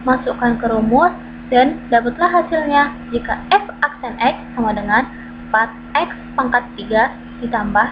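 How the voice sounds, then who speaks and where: A woman reading through a math lesson, stating formulas and worked examples in a steady, methodical, step-by-step way. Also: on the high side, moderate pace. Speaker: math tutor. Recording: dull lo-fi recording with clear background hum.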